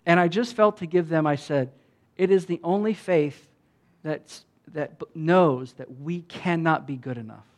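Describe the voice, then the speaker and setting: A fairly high-pitched man from the United States preaches in a relaxed, conversational way. As a pastor, he tells a story and acts out the quoted dialogue of the people in it. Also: measured pace. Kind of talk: sermon storytelling.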